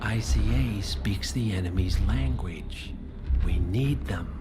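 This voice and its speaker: Game villain: controlled male conspirator leader, deliberate slow delivery, lowish pitch, authoritative. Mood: cool, calculating. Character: controlled, calculating male leader of a secret cabal. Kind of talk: dramatic cutscene acting. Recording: loud music behind the voice. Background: loud soundtrack music.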